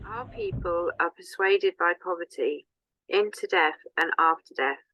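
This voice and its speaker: A woman giving a solemn, measured dramatic reading in a deliberate manner. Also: lowish voice, wildly swooping pitch, vocal fry, bright, forceful tone, lo-fi sound, slight background noise.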